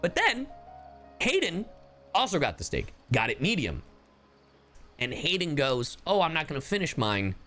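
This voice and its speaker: A man's voice: fairly high pitch, normal speed, pauses often, soft background music. A young American man casually commentating as a game streamer, slangy and sweary. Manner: animated, slangy storytelling with profanity.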